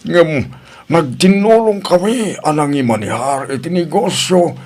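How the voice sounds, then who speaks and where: A man's voice: a little high, animated, a little slow, darkish tone. A man playing a kindly, direct father of a grown daughter in an audio drama, frank and insistent, with a Filipino accent. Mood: approving.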